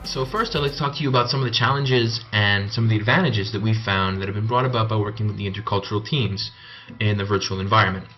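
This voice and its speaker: Low-voiced young Canadian man, muffled sound. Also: fast, faint music behind. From Canada (Montreal). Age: young adult. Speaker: MBA student presenting a team report. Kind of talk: informal presentation.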